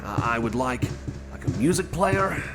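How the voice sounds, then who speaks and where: A man doing a rambling, improvised ASMR roleplay as a nervous, friendly companion, halting and stammering as he repeats his phrases. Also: mid-range voice, sing-song. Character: nervous, friendly male cyborg companion lost in endless corridors. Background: music.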